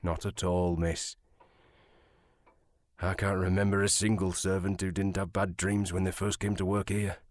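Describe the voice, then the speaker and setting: An actor playing a kindly male servant in a period audio drama, husky, gentle and deferential in his reassurance. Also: sits low, little pitch movement, moderate pace, no room sound, studio quality, close to an everyday voice.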